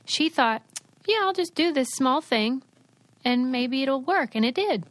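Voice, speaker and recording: Young woman, podcast co-host, chatty and emphatic, higher voice, dry close mic. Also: lively intonation, measured pace, fairly bright tone, no echo. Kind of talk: casual chat with a read ad.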